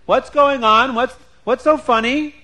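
Man voicing an indignant, high-pitched scolding wife. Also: sing-song, fast. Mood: suspicious, curious. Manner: scolding with sharp rhetorical questions and repetition. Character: indignant, outraged wealthy wife scolding her husband.

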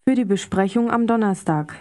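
A woman speaking example sentences slowly and clearly for a language course. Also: medium pitch, a little mellow, no room sound. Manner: slow, clear model pronunciation. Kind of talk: scripted language drill.

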